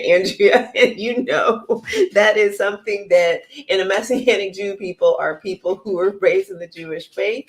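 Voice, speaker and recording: A bubbly, playful woman hosting a podcast, chatting casually with her guest while recovering from an illness. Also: fairly low pitch. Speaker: podcast host. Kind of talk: casual interview chat.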